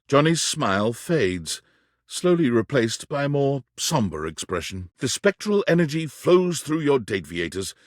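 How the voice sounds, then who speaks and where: A man narrating a dating game in the second person, wry and measured. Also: medium pitch, dry recording, clean studio sound.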